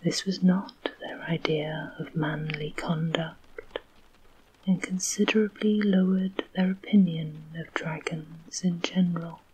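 A woman narrates a story in a gentle whisper, with a husky, airy voice. She reads very slowly and unhurriedly. Her voice sits slightly low. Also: fairly even intonation, rough recording. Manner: soft, slow whisper.

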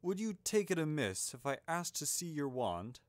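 A man's voice: a little high, big pitch swings, no room sound, clean studio sound, voice pushed up. A man voicing a grandfatherly old wizard headmaster in an audiobook story, courteous, wordy and a little puzzled. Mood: politely inquiring. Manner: genteel, wordy, halting over asides.

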